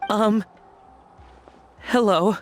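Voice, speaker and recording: A woman's voice: medium pitch, very slow, very noisy. A woman playing a nervous, apologetic young employee in a game, hesitant and earnest. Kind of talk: animated game voice acting. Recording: music under dialogue. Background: game music.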